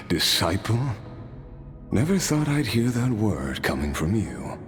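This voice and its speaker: A weary immortal looks back on his youth in a low, slow voice. He is wistful and measured, with quiet resolve underneath, over a clear bed of game music. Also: sing-song, exaggerated voice. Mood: wry, resolute. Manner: measured, unhurried. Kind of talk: game voice acting, reflective storytelling.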